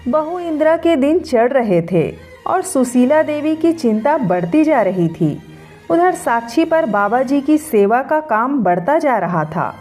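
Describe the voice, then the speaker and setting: A woman reading a scripted story in an expressive storytelling manner. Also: sits high, measured pace. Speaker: story narrator. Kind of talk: scripted Hindi story narration. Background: background music.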